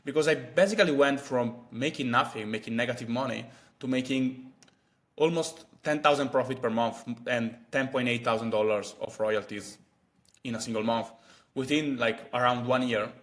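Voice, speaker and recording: A man's voice: mid-range voice, normal speed, fairly bright tone. A man, chatting casually to camera as a vlogger with many fillers and asides. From Italy. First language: Italian. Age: 20s.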